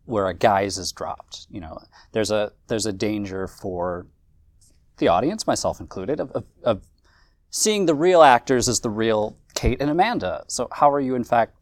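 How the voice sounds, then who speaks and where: A man interviewing actors, asking questions in a halting way with repeated words. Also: fairly low pitch, animated, dry recording, clean studio sound.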